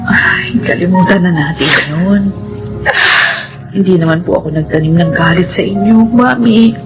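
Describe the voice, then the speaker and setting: A woman acting a gentle, forgiving middle-aged mother. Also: on the low side, normal speed, music in the background, natural voice, rough recording. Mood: tender, forgiving. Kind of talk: radio drama acting.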